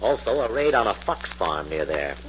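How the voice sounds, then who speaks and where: Low-voiced, authoritative American scientist-sleuth. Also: male voice, sing-song, normal speed, a bit bright. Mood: musing, assured. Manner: precise, authoritative dictation. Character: confident, methodical male scientist-sleuth, brisk and commanding. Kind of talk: 1940s radio acting. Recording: muffled, noisy old-time radio recording.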